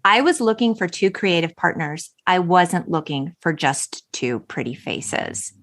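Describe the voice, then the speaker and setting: A woman's voice: fairly low pitch, expressive, unhurried, fairly bright tone, a little hiss or hum. A middle-aged woman hosting a podcast, talking through a film in a reflective, enthusiastic, conversational way. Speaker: podcast host.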